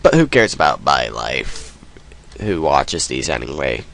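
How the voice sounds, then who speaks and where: A man's voice: extremely low pitch, sing-song, unhurried, vocal fry, fairly bright tone, a little hiss or hum. Man with a cold narrating gameplay. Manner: rambling, self-deprecating, many ums. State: sick with a cold, sniffling. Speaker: let's play gamer. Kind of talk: casual game commentary.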